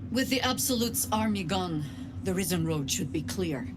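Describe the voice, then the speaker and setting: A woman voicing a seasoned older adventurer in a video game, steadfast and resolute, speaking in a measured, earnest and grave manner. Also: on the low side, expressive, noisy background, close to an everyday voice. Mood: warm resolve. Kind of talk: video game voice acting, dramatic dialogue.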